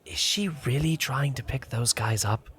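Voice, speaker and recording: A man plays a young adventurer in a fantasy audiobook. His mid-pitched voice is hushed and incredulous, full of disapproval. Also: measured pace, natural voice. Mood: disapproving. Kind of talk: audiobook character acting.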